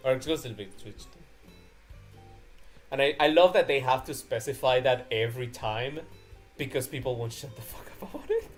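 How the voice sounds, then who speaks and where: A man streams a casual reaction chat with friends, music playing faintly behind him. His voice sits at a middling pitch, and he talks in a loose, animated storytelling way. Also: moderate pace. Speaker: streamer hosting a live reaction. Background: background music.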